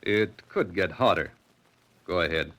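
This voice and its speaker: An actor playing a cool, menacing gunman in a 1940s radio crime drama, curt, unhurried and mocking. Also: deep voice, a bit bright, full character voice. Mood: cool, commanding. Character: cool, menacing male gunman giving orders.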